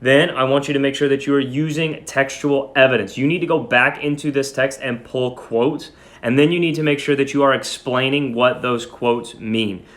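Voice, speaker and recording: A man speaking as a teacher, reading and instructing in an expressive, emphatic way. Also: mid-range voice, normal speed. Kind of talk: dramatic story reading and lesson instruction. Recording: echoey room.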